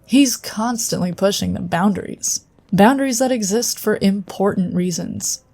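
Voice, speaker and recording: A woman's voice: mid-range voice, normal speed, vocal fry, darkish tone. A woman playing an earnest, loyal female psion colleague who speaks directly. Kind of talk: fan audio drama acting.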